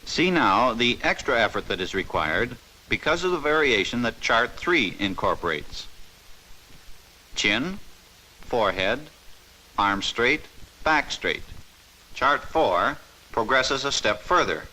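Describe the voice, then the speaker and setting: Guy doing old 50s training film narration, bright crisp forceful tone, formal and instructive. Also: medium pitch, big pitch swings, takes it slowly, long pauses, low-quality recording.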